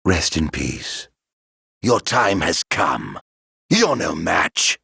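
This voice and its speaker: Flamboyant middle-aged male game villain: mock-courteous and sardonic, husky, very unhurried, theatrical. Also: sits low, sing-song, pushed and bright, no echo, studio quality, full character voice. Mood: cold, soft menace. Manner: flamboyant, sardonic, mock-polite, drawn-out delivery. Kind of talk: video game battle voice lines.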